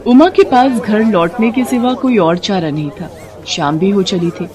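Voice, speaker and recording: A woman narrating a cartoon moral story in a calm, even manner. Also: medium pitch, measured pace, a crowd in the background.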